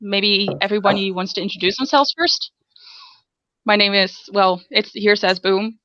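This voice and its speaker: A woman's voice: medium pitch, moderate pace, no echo, muffled recording. A young Dutch woman with a Dutch accent, hosting a casual group podcast in English, chatty and self-deprecating, laughing often. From Netherlands. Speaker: podcast host.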